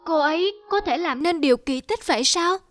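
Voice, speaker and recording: A woman playing a timid, high-voiced little girl. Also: lively intonation, normal speed, no echo, studio quality. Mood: curious, hopeful. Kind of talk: animated fairy-tale dubbing.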